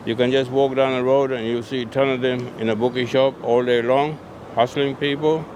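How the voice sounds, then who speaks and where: A man's voice: mid-range voice, steady tone, brisk. An older man from Pakistan answering a street interviewer bluntly, complaining about his area. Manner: blunt, complaining, simple phrasing. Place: outdoors on a city street. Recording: street noise in background.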